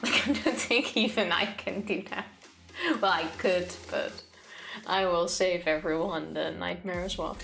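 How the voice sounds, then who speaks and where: A woman's voice: on the low side, steady tone, a little slow, vocal fry, fairly bright tone. A woman streaming, in casual chat before a game, with a blunt, dryly joking way of talking. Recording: music playing behind the voice. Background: music.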